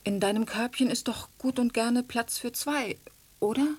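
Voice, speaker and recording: Husky, affectionate she-cat. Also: fairly high pitch, fairly even intonation, moderate pace, darkish tone. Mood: pleading. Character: affectionate young female cat dreaming of town life. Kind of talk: radio drama acting.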